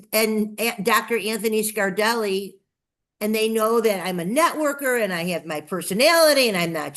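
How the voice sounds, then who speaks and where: A woman's voice: fairly high pitch, a bit bright. An older woman with a Chicago accent telling her story as an interview guest, effusive and emphatic, repeating phrases for effect. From Chicago, United States. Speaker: guest, nonprofit TV promoter. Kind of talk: enthusiastic personal storytelling in an interview.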